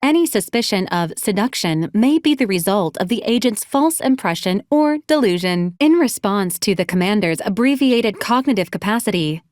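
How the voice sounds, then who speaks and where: A woman's voice: mid-range voice, a little mellow, no room sound, studio quality, close to an everyday voice. A woman playing a polite, literal robot assistant unit in a video game, speaking formally and precisely with clinical detachment. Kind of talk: scripted video game character lines.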